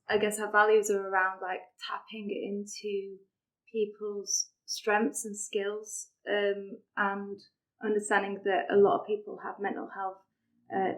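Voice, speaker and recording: A woman's voice: medium pitch, low-quality recording. A young adult woman with a Sheffield accent, chatting casually as a podcast guest, with lots of fillers. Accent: Sheffield English. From Sheffield, England. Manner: chatty, many fillers and likes. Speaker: charity deputy director, podcast guest. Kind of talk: casual interview chat.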